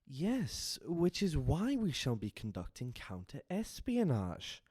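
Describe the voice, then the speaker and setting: A man playing a shrewd, wary vampire spymaster in an audio drama, speaking formally and deliberately. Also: a little high, wildly swooping pitch, darkish tone, husky, dry recording, clean studio sound, heavily acted. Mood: calculating.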